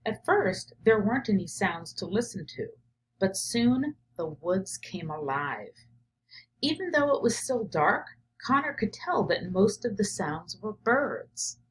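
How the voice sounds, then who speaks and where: A woman's voice: medium pitch, very animated, a little slow, poor audio. A middle-aged woman with a mid-range voice speaks as a teacher doing a read-aloud. She moves between storybook narration and her own reflective commentary, working out her thoughts as she talks. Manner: reflective, thinking out loud, often drops to a murmur while noting ideas. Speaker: teacher, professor.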